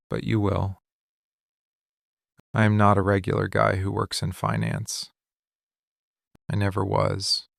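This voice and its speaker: Low, gravelly, possessive mafia boss acted by a man. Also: no echo, studio quality, exaggerated voice. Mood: menacing, demanding. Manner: slow, deliberate, commanding, menacingly intense. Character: possessive, obsessive male mafia boss confronting his love. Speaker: ASMR voice actor. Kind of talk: intimate boyfriend ASMR roleplay.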